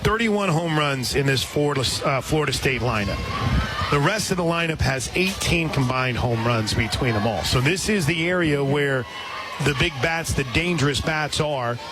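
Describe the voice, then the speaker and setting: Male radio play-by-play announcer for softball, higher-pitched, live outdoors over heavy stadium noise. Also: expressive, normal speed. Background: loud stadium ambience.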